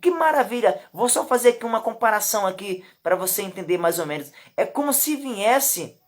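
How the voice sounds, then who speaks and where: A Brazilian woman with a Brazilian Portuguese accent, teaching from the Bible. She reads the verses slowly, repeats her points emphatically and speaks straight to the listener. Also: mid-range voice, animated. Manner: emphatic and repetitive, addresses the listener directly. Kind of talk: Bible teaching with slow scripture reading.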